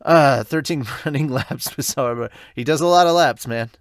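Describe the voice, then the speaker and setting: A man's voice: mid-range voice, fairly quick, no echo, studio quality. A man hosting a podcast review in casual, informal, enthusiastic talk.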